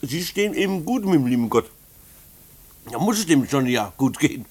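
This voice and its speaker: An actor playing a kindly, patient mail carrier in a German radio play, reticent and gently soothing. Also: male voice, on the high side, big pitch swings, fairly quick, slightly rough.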